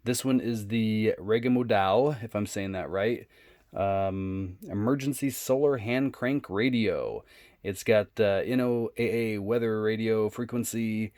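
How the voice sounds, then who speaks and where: A man giving a casual, unscripted product demonstration in a low-key, halting way. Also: darkish tone, no echo, studio quality. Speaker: product reviewer.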